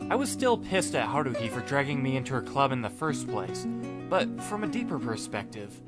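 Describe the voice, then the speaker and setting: A man narrating a fan audiobook in the first person as a wry, laid-back high school student, deadpan and musing. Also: a little fast, natural voice. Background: music, plucked guitar.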